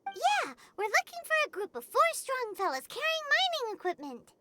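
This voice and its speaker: A woman voicing a tiny, excitable female fairy companion in a video game, who speaks of herself in the third person. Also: very high-pitched, normal speed, heavy background noise, full character voice. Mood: curious. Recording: game dialogue over loud soundtrack.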